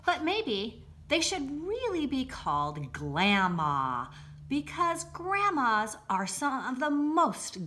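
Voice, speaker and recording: A middle-aged woman reading a children's picture book aloud, deliberately and with expressive emphasis, recorded indoors a short distance from the camera. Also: medium pitch, a little hiss or hum. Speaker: school read-aloud presenter. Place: indoors, home-like room with armchairs.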